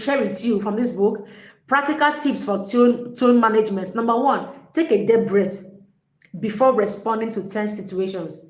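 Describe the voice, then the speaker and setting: Energetic woman coaching in an echoey room. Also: on the high side. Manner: energetic, emphatic, repeats herself. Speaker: relationship coach. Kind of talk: motivational teaching talk.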